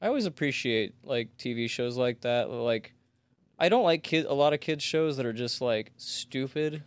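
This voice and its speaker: A man with an Oklahoma accent, a comedy podcast guest who rambles through his thoughts. His voice is smooth and clear, at an ordinary pitch for a man. The room is dry, with no echo. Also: animated, moderate pace. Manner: rambling, lots of likes and restarts. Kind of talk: casual comedy podcast chat.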